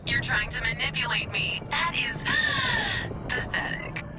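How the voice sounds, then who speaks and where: A woman's voice: a little high, huge pitch swings, slow pace, distant mic, loud music behind the voice, full character voice. An actress playing a haughty, melodramatic and sarcastic female computer AI in a video game. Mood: haughty, scornful. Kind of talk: scripted video game voice acting.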